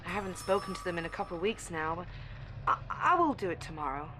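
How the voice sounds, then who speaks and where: Eager young student, noisy background. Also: female voice, on the low side, very animated, quick pace, pushed and bright. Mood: infatuated, wistful. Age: young adult. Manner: earnest, confiding, diary-like. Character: eager, naive, infatuated young female university student. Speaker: actor. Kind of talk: audio drama monologue. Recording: clear background ambient noise.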